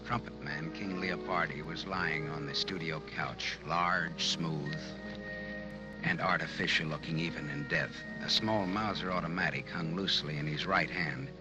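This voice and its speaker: An actor playing a wisecracking, world-weary private detective in a wry, deadpan 1940s radio drama manner. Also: male voice, extremely low pitch, normal speed. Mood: somber narration. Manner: wry, hard-boiled, deadpan. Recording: old muffled radio recording with music.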